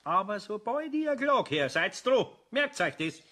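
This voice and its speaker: An older Bavarian craftsman in a radio play, with a fairly high male voice. He grumbles and scolds in broad dialect, yet stays good-natured underneath. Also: big pitch swings, a little slow, bright, forceful tone, exaggerated voice. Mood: gruff, relenting. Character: gruff but kindly older master craftsman. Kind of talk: children's audio play acting.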